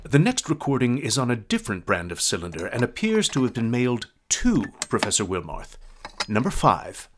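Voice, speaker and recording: A man's voice: medium pitch, wildly swooping pitch, moderate pace. A man playing an archivist who introduces old recordings in an explanatory radio drama narration. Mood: matter-of-fact. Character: male archivist presenting old cylinder recordings. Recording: clearly noisy recording.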